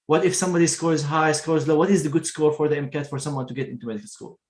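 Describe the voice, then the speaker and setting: A man's voice: fairly high pitch, steady tone, fast, roomy sound. A man hosting a video interview, enthusiastically praising his guest and asking her questions. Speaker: host.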